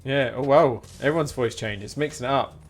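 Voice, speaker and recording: A man's voice: mid-range voice, a little fast. A man streaming a game, chatting informally with rambling explanations. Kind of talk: casual live-stream chat while gaming. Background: game music.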